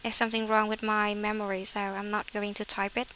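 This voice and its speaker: A woman's voice: medium pitch, flat delivery, a little fast, sharp tone, a little hiss or hum. A woman, an English teacher leading a class conversation and correcting her students' phrasing. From Vietnam.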